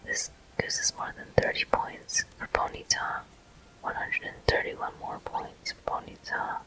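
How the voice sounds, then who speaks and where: Bright, breathy ASMR whisper. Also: poor audio. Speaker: gameplay walkthrough host. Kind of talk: ASMR whispered game commentary.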